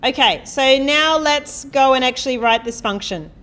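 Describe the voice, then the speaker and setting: A woman giving a live coding lecture, talking loudly and quizzing the class as she types. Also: sits high, moderate pace. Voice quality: loud voice. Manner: chatty, asks the class questions, thinks aloud while typing. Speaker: university programming lecturer. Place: lecture hall.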